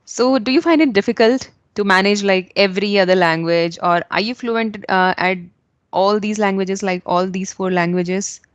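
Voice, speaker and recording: A woman's voice: medium pitch, moderate pace, clear, resonant tone, no room sound. An Indian woman hosting a casual English practice conversation, earnest and encouraging, speaking English as a second language. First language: not English. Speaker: host.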